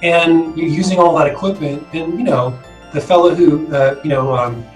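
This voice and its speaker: A man's voice: fairly high pitch, normal speed. A middle-aged American man reminiscing casually and ramblingly, often hedging with filler phrases. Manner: rambling, hedging with frequent "you know". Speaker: interviewee, former student co-op member. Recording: roomy, distant mic, music behind.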